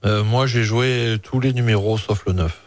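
A man's voice: on the low side, moderate pace. A man, a chef guest on the radio, speaking in short, informal, casual interview banter.

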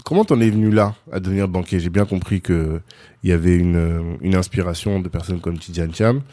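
A male podcast host with a low, mellow voice who keeps the chat moving with short backchannel sounds. He asks casual follow-up questions and likes to tease his guest a little, keeping the tone easygoing. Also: steady tone, a little fast. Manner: frequent short backchannel, teasing. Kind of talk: casual interview conversation.

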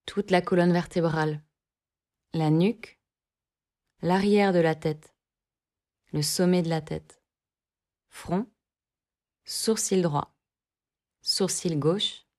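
A woman guiding a yoga nidra relaxation, giving calm, measured instructions in short phrases with long pauses between them. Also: on the low side. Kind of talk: guided relaxation meditation, scripted instructions.

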